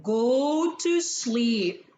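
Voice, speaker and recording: Woman as a weary dad, firm, matter-of-fact, very slow delivery, storybook character. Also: on the high side, expressive, warm tone. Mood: firm, dismissive. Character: firm, weary father dismissing his child's fears. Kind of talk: children's storybook read-aloud.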